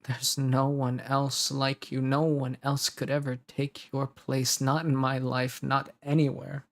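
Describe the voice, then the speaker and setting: Man acting a creaky-voiced, intensely devoted lover. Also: mid-range voice, level tone, close to an everyday voice. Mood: fervent, protective. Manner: pleading, earnest, dramatic pauses. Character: intensely devoted male lover, tender, protective, possessive. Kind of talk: romantic roleplay audio acting.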